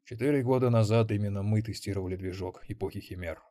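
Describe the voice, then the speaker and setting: Guy playing a calm old grandpa gamer, reflective and measured, dry audiobook. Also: on the low side, no room sound, close to an everyday voice. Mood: reminiscing.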